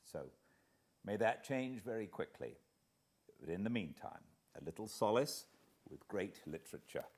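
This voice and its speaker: A man's voice: on the low side, big pitch swings, moderate pace, darkish tone, husky. A British man, an actor and narrator, chatting casually to introduce a reading in a hesitant, rambling, reflective way. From Britain.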